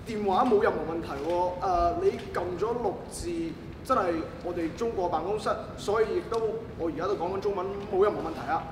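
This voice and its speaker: A man's voice: high voice, brisk, hardly any pauses, close to an everyday voice. A man, a native Cantonese speaker, playing a patient, sympathetic call-centre agent in a stage comedy. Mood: matter-of-fact. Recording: echoey hall, far mic, clear noise.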